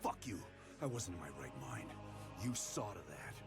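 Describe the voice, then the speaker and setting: A vengeful, hardened operative in a video game cutscene. His voice is lowish and gravelly, with a blunt, forceful, angry delivery. Music sounds clearly underneath. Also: big pitch swings, normal speed. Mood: bitter fury. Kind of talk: dramatic game cutscene acting. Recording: loud music behind the voice.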